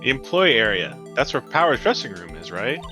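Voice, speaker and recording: A woman plays an awkward young man, a lawyer in a video game. The voice is bright and very animated in pitch, hesitant, apologetic and wry. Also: brisk, faint music behind, heavily acted. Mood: questioning. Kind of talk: amateur group voice-over of a video game.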